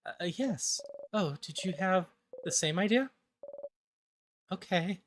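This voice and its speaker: A gentle young game character put on by a voice-over performer, pitched up and hesitant. They speak politely and apologetically, with shy pauses, over some noise. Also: expressive. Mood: shy, friendly. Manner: hesitant, soft-hearted, polite.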